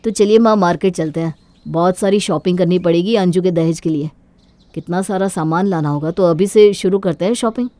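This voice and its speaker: An actor playing a caring young husband and dutiful son in a cartoon moral story, earnest and concerned. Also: male voice, high voice, a little fast, warm tone, no room sound, lightly acted. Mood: eager.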